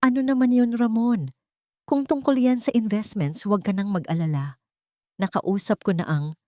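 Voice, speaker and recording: Woman voicing a scheming wife, higher-pitched and defiant. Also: steady tone, normal speed, a little mellow, no room sound, studio quality, close to an everyday voice. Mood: breezy. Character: scheming deceitful wife, desperate, defensive, turning threatening.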